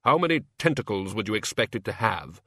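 A man's voice: lowish voice, big pitch swings, a little fast, pushed and bright, dry recording, clean studio sound, exaggerated voice. Man playing a tentative, fussy wizard lecturer. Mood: nervous curiosity. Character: hesitant, mildly fussy male wizard lecturer.

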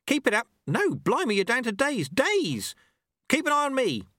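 A man's voice: sits high, sing-song, normal speed, no room sound, clean studio sound, voice pushed up. Man acting a bossy old sweeper monk, raised urgent voice. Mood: excited, amazed. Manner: commanding, shouting. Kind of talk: audiobook character voice.

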